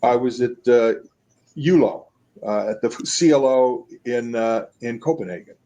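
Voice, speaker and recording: An older man in his seventies tells stories over a video call from home. His voice sits at a middle pitch, and he rambles, stopping and restarting his sentences often. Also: warm tone, dull audio. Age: 70s. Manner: rambling, halting with many restarts. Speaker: guest. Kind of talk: casual storytelling. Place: home room.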